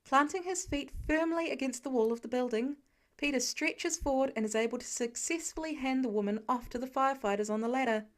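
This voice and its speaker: A woman's voice: high-pitched, brisk. A woman reading scripted story narration as an audiobook-style narrator. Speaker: podfic narrator.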